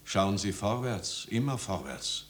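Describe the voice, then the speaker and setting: A man's voice: lowish voice, sing-song, measured pace. An actor playing a kindly, steadfast village pastor in a 1950s radio drama, fatherly and earnest. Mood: firm, encouraging. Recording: old radio recording with hiss and hum.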